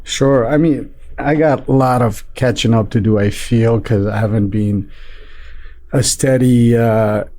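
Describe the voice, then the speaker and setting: A man from Nepal, a restaurant owner being interviewed, talking in a relaxed way with many fillers and restarts. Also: mid-range voice, a little slow. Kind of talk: casual interview talk.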